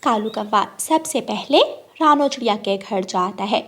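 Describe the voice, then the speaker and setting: A woman's voice: mid-range voice, expressive, measured pace, background noise. A woman narrating a scripted children's story.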